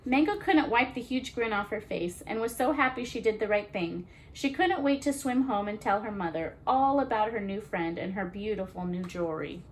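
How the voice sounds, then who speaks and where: A woman reads to children as a storytime host, her voice at a middle pitch. She narrates expressively and slips into casual asides. A little ambient noise sits behind her. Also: normal speed, few pauses, poor audio.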